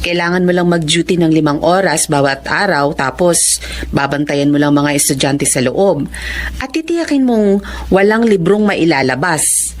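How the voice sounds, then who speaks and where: An actress with a Filipino accent playing a brisk, businesslike library supervisor in a radio drama. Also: female voice, deep voice, a little hiss or hum, lightly acted. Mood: friendly, instructing.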